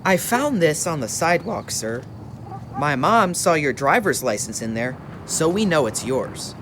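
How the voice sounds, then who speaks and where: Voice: medium pitch, very animated, a little slow, noisy background. A performer playing an eager, good-hearted schoolboy in a puppet show, speaking in an earnest, boyish way. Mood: sheepish. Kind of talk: church puppet show dialogue.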